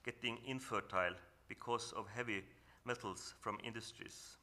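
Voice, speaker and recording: A man with a Norwegian accent speaks in a fairly low but bright, forward tone. He talks earnestly and calmly, and he often stops and restarts as he searches for his words. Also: moderate pace, slight background noise. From northern Norway. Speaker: panel speaker. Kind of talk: reflective presentation at a symposium.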